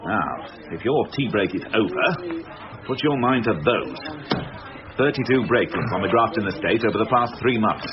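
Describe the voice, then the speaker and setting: A man's voice: lowish voice, expressive, normal speed, dull audio, crowd noise, natural voice. An actor playing an exasperated, sardonic male police inspector in a radio drama, with a pointed, sarcastic, questioning manner. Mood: stern, instructing.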